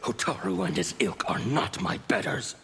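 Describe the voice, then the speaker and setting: An actor playing a bitter, menacing revolutionary of chaos in a video game, declaiming defiantly in a gravelly growl. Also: male voice, medium pitch, big pitch swings, normal speed, a bit bright, exaggerated voice. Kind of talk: video game fight banter.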